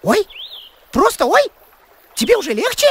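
An actor voicing an excitable, carefree young boy rabbit in a children's cartoon, squeaky, whiny and full of exclamations. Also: moderate pace, noisy background. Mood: brisk, anxious. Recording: music behind the voice.

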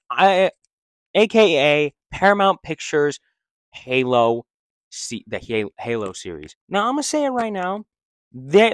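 Excitable young man rambling casually. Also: on the high side, no echo. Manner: rambling, restarts sentences, slangy and excitable. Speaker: vlogger. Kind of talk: casual opinion vlog. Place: living room, seated in armchair. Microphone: handheld mic held close.

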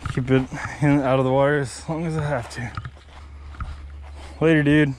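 A young man filming himself fishing at a pond, chatting casually and teasing his brother with excited, laughing commentary. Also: fairly high pitch, normal speed, a little hiss or hum. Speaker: angler filming a fishing challenge. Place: outdoors by a rural pond. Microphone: head-mounted action camera.

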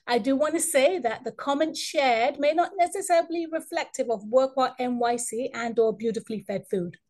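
A woman moderating an online event, reading a formal introduction in a measured way. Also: on the high side, a little mellow.

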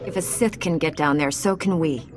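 An actress playing a calm, resolute female Jedi Knight in a video game, speaking firmly and earnestly. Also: deep voice, normal speed, over music, natural voice. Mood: firm, serious. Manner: composed, earnest, firm. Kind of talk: video game cutscene acting.

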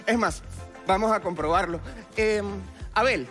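A man's voice: sits high, a little slow, fairly bright tone. Venezuelan guy in his 20s, stage magician talking to judges, theatrical, music playing under him. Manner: theatrical, addressing the judges directly. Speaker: stage magician, talent show contestant. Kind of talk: live stage magic patter. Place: large TV studio theatre stage. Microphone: headset.

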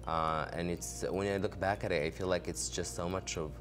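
A young man from Morocco, a fashion designer, answering interview questions in a relaxed studio chat full of filler words. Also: sits low, moderate pace. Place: studio set, seated on a couch. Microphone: desk microphone on a stand. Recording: music playing underneath.

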